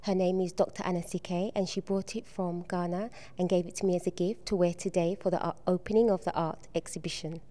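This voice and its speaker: A middle-aged woman from the UK, soft-spoken and hesitant with frequent ums, answering questions as an art exhibition organizer at a school event. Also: on the low side, fairly even intonation, fairly quick, few pauses. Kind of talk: on-location TV interview.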